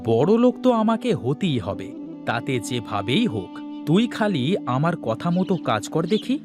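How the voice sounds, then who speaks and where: A man acts out a scheming village husband and ringleader for a cartoon. His delivery is bossy and coaxing, with a highish, very animated pitch and boastful swagger. Music plays behind him. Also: moderate pace. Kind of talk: Bengali cartoon voice acting.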